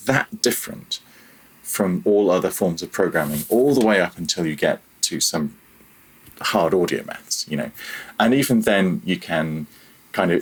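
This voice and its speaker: A man's voice: low voice, husky, rough recording. A man talking casually as a podcast guest about his own working life, in a rambling, conversational way. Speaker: podcast guest, audio programmer. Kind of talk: casual interview, telling own career story.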